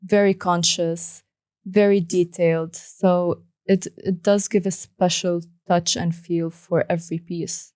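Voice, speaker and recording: A woman's voice: on the low side, level tone, a little slow, a little mellow, no room sound, studio quality. A young woman hosting an interview, asking long reflective questions in a casual conversational way. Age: young adult. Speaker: interview host.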